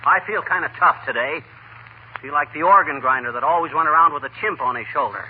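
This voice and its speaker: An actor playing a boastful, mischievous, stubbornly frank husband with a folksy, cocky manner in 1940s radio comedy. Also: male voice, a little high, fast, natural voice. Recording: tinny, hissy 1940 radio broadcast.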